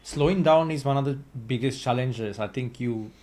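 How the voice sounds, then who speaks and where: A man's voice: medium pitch, moderate pace, heavy background noise. A man hosting an interview podcast, encouraging and affirming his guest in casual chat. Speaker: podcast host.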